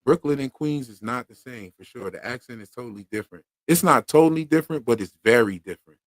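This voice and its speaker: A man's voice: medium pitch, expressive, fast, no room sound. A man from Pennsylvania with a Philadelphia accent, hosting a casual livestream chat in a slangy, laid-back way full of fillers. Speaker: podcast host.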